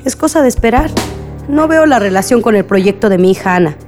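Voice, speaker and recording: A woman's voice: a little high, lively intonation, fairly quick, darkish tone, soft background music, lightly acted. A Mexican woman playing a principled, unyielding village mother in a radio soap opera, firm and formally polite. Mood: firm, indignant. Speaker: radio drama actor.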